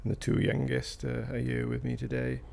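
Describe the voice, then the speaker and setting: A man's voice: medium pitch, little pitch movement, brisk, creaky, darkish tone, slight background noise. A man in his fifties with a South Wales Valleys accent, answering interview questions in a hesitant, rambling way with fillers and restarts. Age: 50s. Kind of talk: recorded interview.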